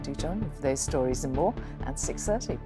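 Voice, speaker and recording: A woman reads the evening headlines as a regional news presenter. Her delivery is bright and upbeat, and her pitch moves in lively swings. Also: a little fast, loud music behind the voice.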